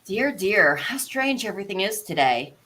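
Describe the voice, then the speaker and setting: A woman's voice: medium pitch, sing-song, normal speed, bright, forceful tone. A woman playing a curious, polite young girl who talks to herself in wonder, in a storybook live read. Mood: puzzled.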